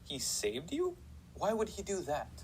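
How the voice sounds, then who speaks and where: An actor in an audio drama playing a condescending prison psychiatrist with a clinical, sardonic manner. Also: male voice, high voice, huge pitch swings, a little slow, darkish tone, slight background noise, heavily acted, poor audio. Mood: skeptical, reproachful.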